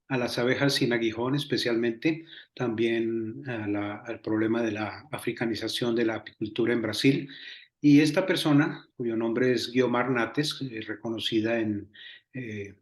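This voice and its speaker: An older man from Colombia, an entomologist answering interview questions in a measured way with many hesitations. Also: mid-range voice, echoey room. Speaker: entomologist guest.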